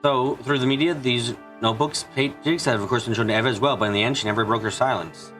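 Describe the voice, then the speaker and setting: A man's voice: medium pitch, fast, fairly bright tone, faint music behind, poor audio. A man reading visual novel lines aloud as a live amateur English dub, stumbling now and then over words and names. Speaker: streamer doing an English fan dub of a game. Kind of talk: live read-aloud dubbing of visual novel narration and dialogue.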